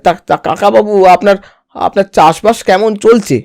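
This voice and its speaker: A man's voice: high voice, moderate pace, creaky, darkish tone, dry recording, clean studio sound. A man voicing a scheming village son-in-law in a comic cartoon, falsely polite and ingratiating, often repeating his words. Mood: slyly insinuating. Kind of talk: exaggerated comic cartoon dialogue.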